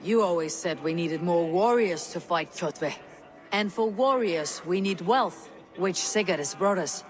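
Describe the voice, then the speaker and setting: A woman's voice: fairly low pitch, animated, a little slow. An actress playing a loyal, steadfast Viking warrior woman, direct and wry, in video game dialogue. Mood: firm, dutiful. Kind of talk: dramatic game cutscene dialogue.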